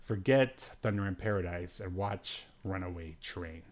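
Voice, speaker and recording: A man's voice: sits low, normal speed. A man hosting a casual livestream podcast, chatting in a rambling, dryly joking way. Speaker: podcast host. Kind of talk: casual solo livestream chat about obscure media.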